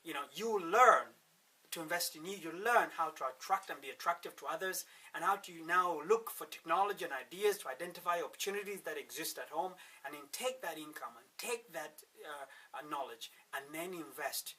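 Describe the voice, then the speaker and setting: A man with a Ugandan accent giving an emphatic motivational pitch as a workshop speaker, with rhetorical turns and repeated words. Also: brisk, sharp tone, distant mic. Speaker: wealth-creation workshop speaker. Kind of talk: motivational sales pitch to camera.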